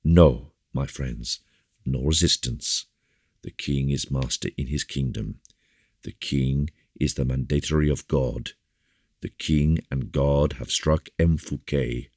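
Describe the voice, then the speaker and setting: A man voicing a solemn, commanding bishop who speaks with grave, measured courtesy in an audiobook. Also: rumbling low, animated, no echo, studio quality. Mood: solemn appeal. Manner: grave, measured rhetoric with repeated appeals. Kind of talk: audiobook character dialogue.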